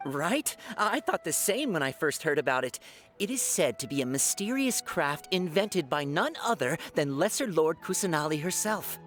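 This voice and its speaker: An animated fortune-teller vendor. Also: male voice, on the high side, moderate pace, faint music behind. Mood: salesman-like. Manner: salesman-like, theatrical, hesitant when unsure. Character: male fortune-teller stall vendor, eager, affable, slightly bumbling amateur. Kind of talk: video game character acting.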